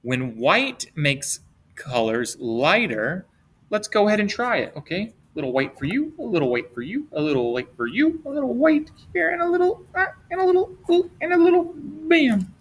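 A middle-aged man reading a picture book aloud to young children in a playful, expressive way, drawing out words and posing simple questions. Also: high-pitched, normal speed. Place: indoors at a desk, webcam with book camera.

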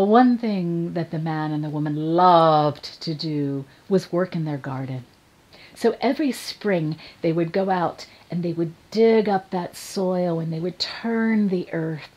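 An older woman telling a story to young children in a playful, expressive manner, addressing them directly. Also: lowish voice, rough recording. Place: indoors in front of a curtain, filmed for online program.

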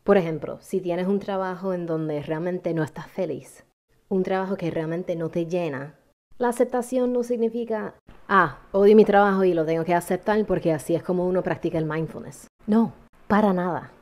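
A woman, calmly teaching a mindfulness lesson to camera, with deliberate pauses. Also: on the low side. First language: English. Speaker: yoga teacher. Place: indoor room at home. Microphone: small handheld lavalier mic held close.

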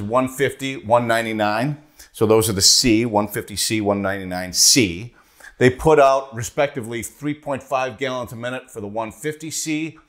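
A man in his forties explaining boiler equipment in a practical, conversational way, recorded with a lapel mic in a bright studio. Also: medium pitch. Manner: practical, conversational, points and holds up parts. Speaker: HVAC product specialist. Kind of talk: product walkthrough video. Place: bright studio in front of a whiteboard.

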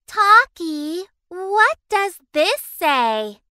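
A woman's voice: very high-pitched, sing-song, drawn-out words, bright, forceful tone, dry recording, clean studio sound, heavily acted. A woman voicing a dramatic, fretful little girl in a children's cartoon, theatrical and exclaiming. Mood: curious.

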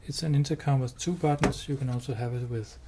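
A man giving a hands-on product demo indoors, explaining step by step in a halting, matter-of-fact way. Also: mid-range voice, steady tone. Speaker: product demonstrator. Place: indoors, showroom with wall-mounted devices. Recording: clear background noise.

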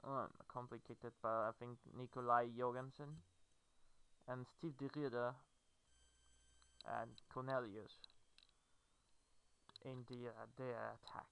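Clear, bright-voiced Brazilian man. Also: medium pitch, level tone, slow, long pauses, low-quality recording. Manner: hesitant, fillers, non-native phrasing. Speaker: gamer. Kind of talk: game commentary.

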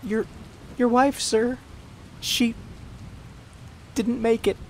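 An actor playing a timid, nervous servant in a comic dub, hesitant, halting and deferential. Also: mid-range voice, fairly even intonation, a little hiss or hum. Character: timid, nervous servant delivering bad news. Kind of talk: theatrical comic dub acting.

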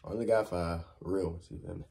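A young man hosting a music reaction, hyped and slangy, swinging from loud exclamations to mumbled asides in a small bedroom. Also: deep voice, steady tone, quick pace, gravelly, breathy edge. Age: young adult. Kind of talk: excited reaction commentary.

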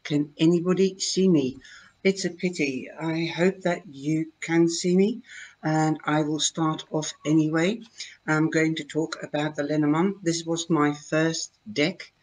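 An older woman with a Dutch accent, talking slowly into her webcam at home and explaining her fortune-telling cards in a chatty, hesitant way. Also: sits low, fairly even intonation, a little mellow, rough recording. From Netherlands. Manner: slow, chatty, with many hesitations. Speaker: tarot reader. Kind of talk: live-streamed card reading talk. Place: home room, indoors. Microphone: webcam at desk.

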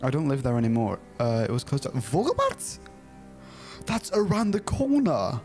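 A man's voice: mid-range voice, big pitch swings, moderate pace, a little mellow, faint music behind. A young Dutch man with a British-style English accent streaming a game from a small room at home, chatting casually, giggling often and reading chat and game text aloud. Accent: Dutch speaker with a British-style English accent. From Netherlands.

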